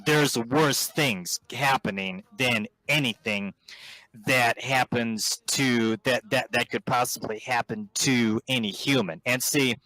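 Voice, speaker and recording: A man speaking as a vegan activist in a voice-chat debate, halting with frequent restarts and ums. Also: mid-range voice, pushed and bright, dry recording, poor audio. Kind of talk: debating on a voice call. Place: online voice chat.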